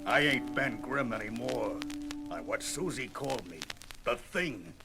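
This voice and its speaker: An actor playing a gruff, hot-tempered, wisecracking pilot turned monster in a 1970s superhero audio drama, with streetwise slangy talk. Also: male voice, mid-range voice, animated, measured pace. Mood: sour, resigned. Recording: vintage record with loud music behind.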